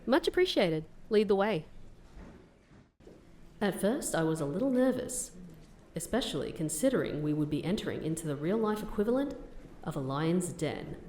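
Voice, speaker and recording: A woman acting as a composed, polite, stoic undercover agent in an audio drama, with a measured, proper manner. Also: on the low side, sing-song, darkish tone, slight background noise.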